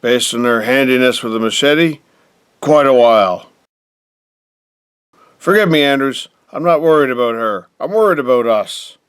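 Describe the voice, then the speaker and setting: Crisp, pompous older patriarch played by a man. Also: mid-range voice, lively intonation, moderate pace, long pauses, a bit bright, no echo, clean studio sound, natural voice. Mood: cold, firm. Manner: pompous, formal, scolding. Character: stern, pompous, contemptuous middle-aged wealthy patriarch. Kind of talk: theatrical audio drama acting.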